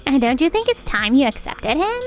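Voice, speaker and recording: A woman's voice: high voice, big pitch swings, fairly quick, faint music behind. A woman voicing a pushy spoiled rich daughter. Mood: coaxing. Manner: insistent, demanding. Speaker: voice actor in a manga dub. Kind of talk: manga dub acting.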